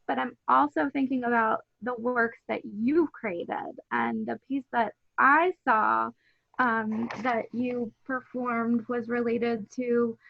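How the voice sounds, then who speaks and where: Woman host on a call, kinda high and gravelly, muffled lo-fi audio. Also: dry recording. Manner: thoughtful, long winding questions with ums. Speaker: lecture host and moderator. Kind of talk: moderating a video-call talk. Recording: dull lo-fi sound.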